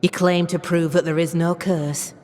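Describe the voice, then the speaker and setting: A woman's voice: on the low side, flat delivery, normal speed, darkish tone, close to an everyday voice. An actress playing a pious, hostile colonial townswoman in a video game, stern and accusatory. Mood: scornful. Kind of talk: dramatic video game acting. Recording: long reverberant hall echo.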